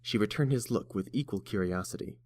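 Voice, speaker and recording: A man's voice: fairly low pitch, brisk, warm tone. A man narrating a story from a script as a storybook narrator.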